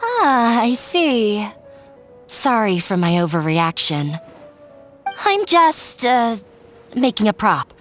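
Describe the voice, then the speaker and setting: A woman's voice: on the high side, very animated, takes it slowly, pauses often, faint music behind. A woman voicing a shy, jumpy, self-doubting young woman in a video game, hesitant and easily flustered. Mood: flustered, defensive. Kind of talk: animated video game voice acting.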